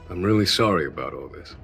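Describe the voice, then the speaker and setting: A man playing a composed, diplomatic general in a video game, polite and deliberate. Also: low voice, creaky, fairly bright tone, full character voice. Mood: apologetic. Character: composed, courteous male general, diplomatic and patient. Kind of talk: video game story dialogue acting. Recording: music under the voice.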